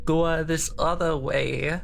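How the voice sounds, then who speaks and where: A man's voice: fairly high pitch, fairly even intonation, unhurried, vocal fry. A man playing a tabletop game with casual, jokey, rambling role-play banter in a folksy manner.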